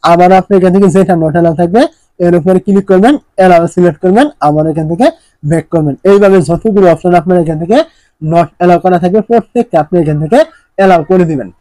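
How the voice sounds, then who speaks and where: High-pitched, quick-talking man giving instructions. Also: mellow, no echo. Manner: repetitive, instructional, poses questions then answers them. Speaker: tech tutorial presenter. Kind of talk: step-by-step phone tutorial narration.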